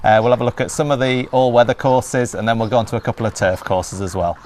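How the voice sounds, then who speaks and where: A man's voice: mid-range voice, fairly even intonation, quick pace, a little mellow, slight background noise. A man conducting a casual, chatty on-location interview about horse racing. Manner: chatty, frequent yeah and mm-hmm acknowledgements.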